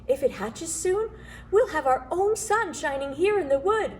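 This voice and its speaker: A woman voicing an excitable little female elf with a high, eager voice. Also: a little slow, a little hiss or hum.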